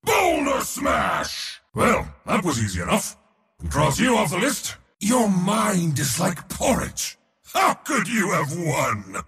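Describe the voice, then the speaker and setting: A haughty god of war for a video game, his voice very rough and gravelly, ringing with long reverb. He is pompous and mocking, swinging wildly between huge battle bellows and low sneers. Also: wildly swooping pitch, takes it slowly, fairly bright tone, shouting, full character voice. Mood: furious, commanding. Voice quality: raspy, gravelly, powerful. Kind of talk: video game hero voice lines.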